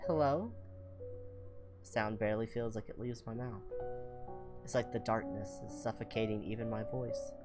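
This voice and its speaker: A game streamer reading visual novel narration aloud with expressive, playful asides. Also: mid-range voice, moderate pace, long pauses, music in the background. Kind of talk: playthrough commentary and read-aloud narration.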